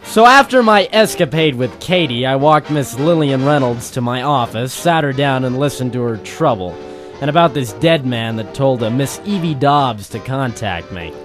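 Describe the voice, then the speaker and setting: An actor playing a hard-boiled, wry private eye in a noir radio play, with clipped storytelling. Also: male voice, medium pitch, expressive, normal speed, a bit bright, close to an everyday voice. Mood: wry narrating. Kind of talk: noir radio-play detective narration and dialogue. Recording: music under the voice.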